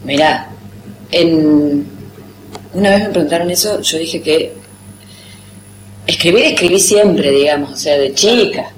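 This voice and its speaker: Argentine woman, lowish voice, chatty, laughs at herself, echoey noisy room. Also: unhurried, pauses often. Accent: Argentine Spanish, rioplatense. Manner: chatty, self-mocking, laughs often. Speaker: interviewed poet and writer. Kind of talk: casual interview chat. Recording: roomy echo with background noise.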